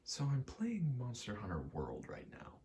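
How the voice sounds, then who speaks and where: A man giving a rambling ASMR gaming commentary, halting and meandering as he thinks aloud while playing. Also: medium pitch, wildly swooping pitch, dark tone, slight background noise. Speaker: gaming video creator.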